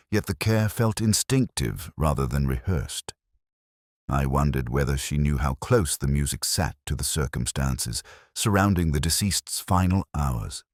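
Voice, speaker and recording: A man narrates a literary detective mystery in a very deep voice. His delivery is measured and formal, yet the intonation moves up and down a good deal. The sound is dry, with almost no room to it. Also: no room sound. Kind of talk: scripted first-person detective mystery narration.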